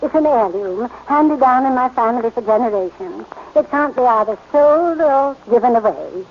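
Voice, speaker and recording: A woman's voice: on the high side, animated, moderate pace. An actress playing a genteel, easily flustered woman of seventy in a 1940s radio drama. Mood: fluttery. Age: 70s. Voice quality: fussy, flustered refrains. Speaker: elderly society lady asking for a theft. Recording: dull, lightly hissy old radio broadcast.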